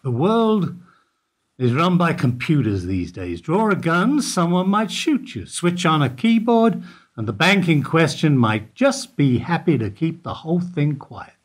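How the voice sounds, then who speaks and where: Man doing a weary security guard, husky voice, terse and dry, lively pitch, radio play. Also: unhurried. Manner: dry, evasive, terse answers. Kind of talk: amateur radio play acting.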